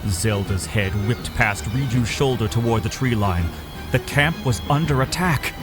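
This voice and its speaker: A man narrating a dramatic story in a solemn, theatrical, deliberate way. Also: mid-range voice. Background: music.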